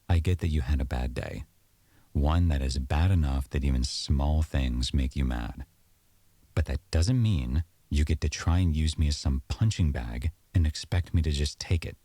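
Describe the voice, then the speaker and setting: A man's voice: a bass voice, mellow, husky, dry recording, heavily acted. A man playing an aggrieved, sarcastic boyfriend arguing at home in an audio roleplay, accusatory and exasperated. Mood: defiant, bitter. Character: aggrieved, sarcastic boyfriend in a heated domestic argument.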